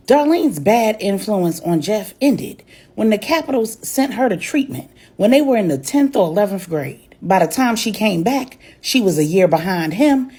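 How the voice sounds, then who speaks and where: A woman's voice: mid-range voice, dark tone, husky. A woman voicing a defensive, matter-of-fact mother in a story, explaining herself firmly.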